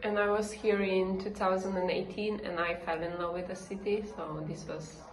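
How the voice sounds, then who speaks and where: A woman of twenty-four, a master's student, answering interview questions in a lively, frank way. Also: medium pitch, moderate pace, roomy sound, crowd noise, low-quality recording. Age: 20s.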